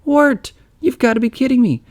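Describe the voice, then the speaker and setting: Man doing a high sassy bluebird. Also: moderate pace, a little mellow, dry recording, clean studio sound. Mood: teasing. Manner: teasing, blunt. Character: sassy female bluebird companion.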